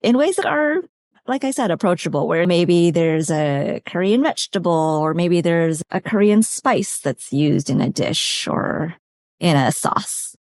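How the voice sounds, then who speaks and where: A woman's voice: fairly low pitch, lively intonation, a little slow, darkish tone, dry recording. A woman from California, a dietitian on a podcast, explaining food and nutrition in a practical, step-by-step way. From California, USA. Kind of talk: conversational expert explanation.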